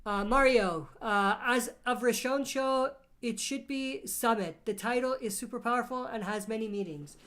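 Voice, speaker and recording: A woman with a cold hosts a relaxed live Q&A stream, her voice a bit higher than most. She reads viewer questions aloud and talks through her answers in a loose, chatty way, with ums and uhs along the way. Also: moderate pace, hardly any pauses. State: has a cold. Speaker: livestream host. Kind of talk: casual live Q&A chat.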